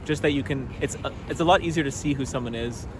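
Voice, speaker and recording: Male interviewee, mid pitch, casual fluent delivery, street noise behind. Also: quick pace. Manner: relaxed, fluent with filler likes. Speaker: passer-by interviewee. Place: city street outdoors.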